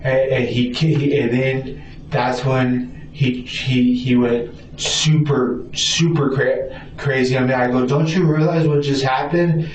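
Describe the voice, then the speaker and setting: A man haltingly recounts an incident in a police interview, stammering, repeating himself and acting out dialogue in fragments. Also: mid-range voice, fairly even intonation. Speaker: suspect being questioned by police. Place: indoor police interview room. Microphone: distant room recording. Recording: echoey room, distant muffled mic, noisy.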